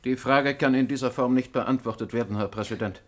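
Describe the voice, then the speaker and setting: Man playing rigid dismissive judge, mid-pitched, terse formal radio drama delivery. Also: brisk, natural voice. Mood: cold. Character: cold, pedantic male presiding judge, dismissive and rigid. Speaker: actor. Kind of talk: German radio play acting.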